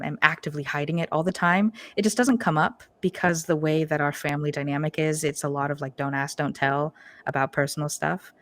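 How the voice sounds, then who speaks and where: Young american woman, low voice, chatty with lots of ums and little laughs, dry close sound. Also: no echo. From United States. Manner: relaxed, many fillers, laughs often. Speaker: podcast guest. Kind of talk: casual interview chat.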